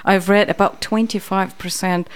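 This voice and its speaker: A woman hosting a studio interview, reading her guest's titles one after another and then asking quick, eager questions. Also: medium pitch, steady tone, smooth. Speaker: radio host. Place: radio studio.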